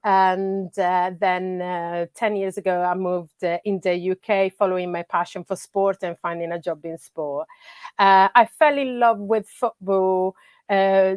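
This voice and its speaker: A middle-aged woman with an Italian accent chats in a podcast interview. She pauses often with fillers and restarts her sentences. Her voice sits at an ordinary pitch and sounds dry and close. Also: no echo. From Italy. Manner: hesitant, many fillers and restarts. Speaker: soccer coach.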